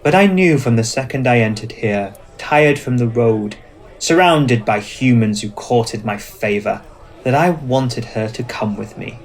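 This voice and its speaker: Courtly, passionate vampire gentleman acted by a man. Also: mid-range voice, expressive, unhurried. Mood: wistful, assured. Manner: formal, poetic, theatrical phrasing. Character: courtly, passionate, dominant old-world male vampire. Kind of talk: ASMR roleplay acting.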